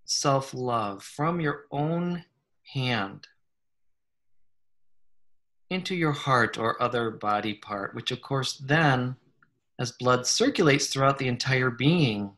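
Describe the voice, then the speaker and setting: A man leading a guided meditation in a gentle, unhurried manner, leaving long pauses between short phrases. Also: medium pitch. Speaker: guided meditation leader, psychologist. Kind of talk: slow guided meditation, soothing instruction.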